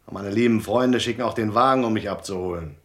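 A man acting a hunted gangster who has quit the mob, in a radio play. His voice is low, his manner guarded and terse, tough with anxiety underneath. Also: quick pace, a bit bright, close to an everyday voice. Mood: weary, calculating. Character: hunted male gangster dropout, tough yet anxious. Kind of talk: German radio drama acting.

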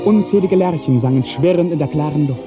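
Lyrical male narrator on vintage radio. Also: fairly high pitch. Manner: lyrical, measured, formal diction. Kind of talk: literary narration in 1950s German radio drama. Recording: tinny 1950s radio recording, music behind.

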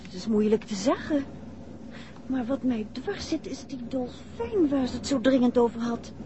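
An actress playing a detective's curious, worried wife in a 1960s radio drama. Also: female voice, a little high, expressive, moderate pace, lightly acted. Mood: pensive, worried. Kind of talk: 1960s radio play acting. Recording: dull, noisy old radio recording.

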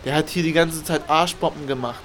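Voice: medium pitch, brisk, close to an everyday voice. An amateur performer playing a friendly, chatty pool attendant in a children's radio play. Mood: helpful. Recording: distant voice amid loud crowd noise. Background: loud swimming pool crowd.

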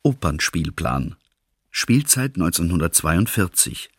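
Stern programme announcer, radio drama. Lowish, dry studio sound, emphatic formal listing. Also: male voice, very animated, takes it slowly, breathy edge, no echo, heavily acted.

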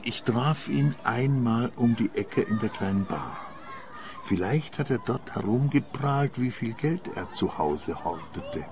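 A man's voice: medium pitch, expressive, unhurried, natural voice. Actor as a grumbling, defensive old man. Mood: resigned, reflective. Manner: grumpy, defensive. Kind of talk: radio play acting.

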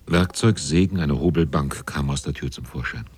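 Casting: male radio drama narrator, rock-bottom register, raspy, dry measured delivery. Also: a little mellow, dry recording. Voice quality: very deep, husky.

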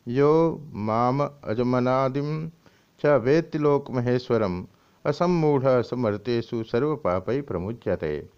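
The recording is dull and lo-fi. A man with a mid-pitched voice reads scripture and its explanation aloud. His delivery is scripted and formal, with deliberate phrasing suited to a religious teacher. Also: darkish tone, clean tone. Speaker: swami reading and explaining scripture. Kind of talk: scripted scripture reading with commentary. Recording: dull, lo-fi audio.

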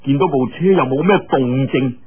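A man's voice: medium pitch, moderate pace, slightly rough, dull audio. A man with a Cantonese accent telling a martial-arts tale in a dramatic, colloquial, exclamatory style. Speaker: storyteller. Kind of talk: animated martial-arts storytelling.

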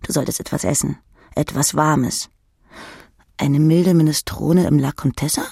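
Female narrator, raspy voice, terse literary reading, very dry recording. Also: sits low, fairly even intonation, a little slow, darkish tone, no echo. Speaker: audiobook narrator.

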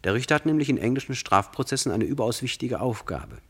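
An actor playing a serious male host narrating a 1960s radio drama, measured and rhetorical as he addresses the listeners. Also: medium pitch, lively intonation, a bit bright, breathy edge.

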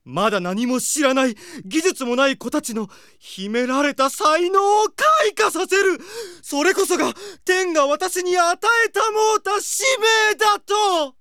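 An actor playing a flamboyant, effeminate middle-aged male teacher of performing arts in an audio drama, with campy feminine speech and dramatic pauses. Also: extremely high pitch, lively intonation, sharp tone, dry recording, exaggerated voice. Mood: triumphant. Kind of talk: anime audio drama acting.

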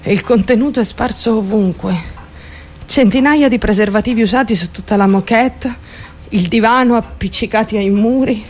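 A woman's voice: a little high, normal speed, natural voice. An actress playing a troubled, volatile young woman in a dramatic radio monologue, intense and raw as she recounts her traumatic story. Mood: tense, hushed. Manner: intense, swinging between outcry and hushed narration.

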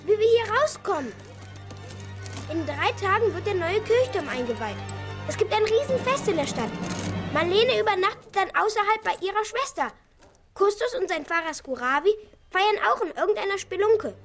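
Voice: extremely high pitch, very animated, normal speed, exaggerated voice. An actor in a children's radio play voicing a well-bred, clever merchant's son who explains things carefully and eagerly. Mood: excited, scheming. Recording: studio radio play with music underneath.

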